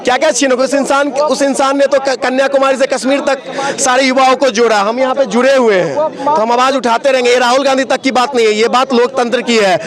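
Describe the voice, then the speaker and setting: A young male student at an outdoor protest speaks in an unusually high voice, hammering home accusations and repeating lines for force. A crowd is clearly audible all around him. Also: fairly even intonation, brisk, hardly any pauses. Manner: fiery, accusatory, repetitive. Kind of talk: impassioned protest speech to a reporter. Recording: outdoor crowd noise behind.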